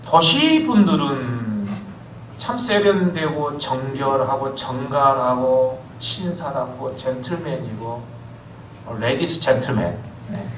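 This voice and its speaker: A man preaching a sermon as pastor, telling stories with lively swings of voice and calling for amens. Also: medium pitch, slow, a little hiss or hum. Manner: animated storytelling preacher, calls for amens. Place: church sanctuary. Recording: echoey church hall from far off.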